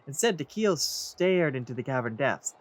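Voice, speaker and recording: A man's voice: medium pitch, very animated, normal speed. Theatrical man narrating a video game. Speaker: let's play host. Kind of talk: dramatic read-aloud and game commentary.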